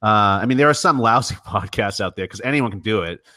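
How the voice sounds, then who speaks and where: Male show host, lower side, bright forceful tone, chatty, laughs easily. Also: fairly quick. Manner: chatty, laughs often, stammers at times. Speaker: podcast host. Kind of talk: casual livestream chat.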